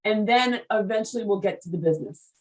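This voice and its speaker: A woman's voice: mid-range voice, recorded from a distance, rough recording. Chatty woman host, a bit off-mic. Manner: chatty, quick, animated, interrupts with repeated words. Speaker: interview host. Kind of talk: casual conversational interview.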